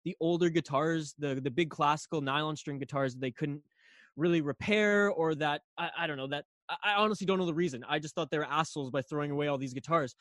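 A young man from Canada, a guest on a podcast, telling a long story casually with lots of fillers and restarts, his voice a little raspy. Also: fairly high pitch, fast talker, fairly bright tone, no echo. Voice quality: slightly raspy.

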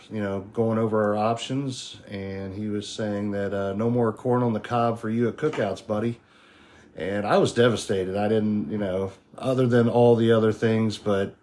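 A hoarse man losing his voice, a guest farmer in a casual interview, telling his story in an eager, rambling way. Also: on the low side, darkish tone, a little hiss or hum. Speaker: podcast guest, urban microgreens farmer.

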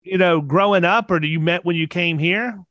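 Casting: middle-aged man, podcast host, higher-pitched voice, casual conversational delivery, close dry sound. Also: brisk, a bit bright, dry recording, lo-fi sound.

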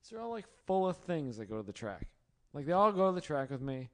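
A hoarse, tired man hosting a casual late-night chat in his home garage, joking and laughing often. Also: a little high, big pitch swings, quick pace. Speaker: podcast host.

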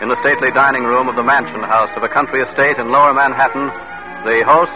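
A man's voice: medium pitch, steady tone, quick pace, music in the background, low-quality recording. A man announcing and narrating a radio drama in formal, declamatory 1940s style. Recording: vintage radio broadcast.

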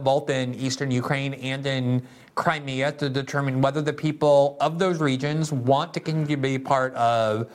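A man hosting a political interview show, reading a sponsor spot and asking long, winding questions in an earnest way. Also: mid-range voice. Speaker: show host.

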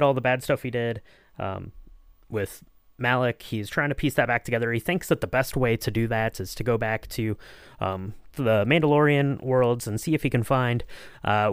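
Male host, mid-pitched and clear, easygoing hesitant recap style, close dry recording. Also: dry recording. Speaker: podcast host. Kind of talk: casual discussion, recapping a story.

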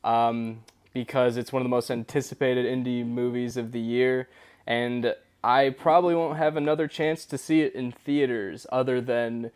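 A man's voice: medium pitch, fairly even intonation, normal speed, darkish tone, smooth. A man co-hosting a podcast in casual chat, enthusiastic and eager. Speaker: podcast co-host.

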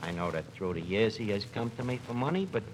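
An actor playing a cocky, gullible New York tavern manager in a radio comedy, with a New York accent and mangled pompous phrasing, on an old broadcast recording. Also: male voice, on the low side, lively intonation, quick pace, a little hiss or hum, natural voice, poor audio. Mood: earnest, defensive. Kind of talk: 1940s radio comedy acting.

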